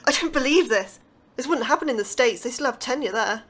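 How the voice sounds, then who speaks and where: An actress playing an earnest, anxious astrobiology lecturer with a proud streak, in an audio drama. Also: female voice, high-pitched, animated, brisk, fairly bright tone. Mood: indignant, hurt. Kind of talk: scripted audio drama dialogue.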